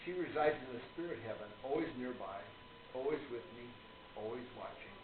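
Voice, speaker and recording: A man playing a courteous, earnest gentleman, echoey lo-fi recording. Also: mid-range voice, animated, fairly quick, natural voice. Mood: devout, earnest. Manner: formal, courteous, lecturing. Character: earnest, genteel older gentleman author, devout spiritualist. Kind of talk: radio play acting. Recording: lo-fi, echoey room, noisy.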